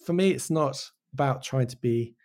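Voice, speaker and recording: A man with an English accent, from Wales, giving casual, reflective interview answers as a show creator, often halting and restarting with real conviction. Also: mid-range voice, darkish tone. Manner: halting, self-interrupting, passionate about own work.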